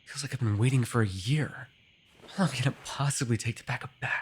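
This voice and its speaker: Male actor as a sarcastic vampire, mid-pitched, teasing and bickering, conversational roleplay delivery, sighs, clear noise behind the voice. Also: a little fast, close to an everyday voice. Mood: impatient. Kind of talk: immersive ASMR roleplay acting.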